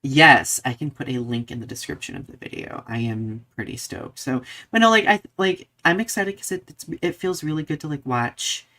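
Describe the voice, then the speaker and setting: A man's voice: medium pitch, expressive, moderate pace, few pauses, bright, forceful tone. A man with a mid-range voice co-hosts a casual chat podcast. He talks expressively, leaning on words for emphasis and often circling back to find his phrasing. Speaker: podcast co-host.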